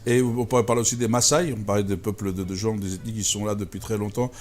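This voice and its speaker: A man's voice: on the low side, fairly even intonation, quick pace. A man hosting a talk show, interviewing his guest in a conversational way and readily chiming in with his own points.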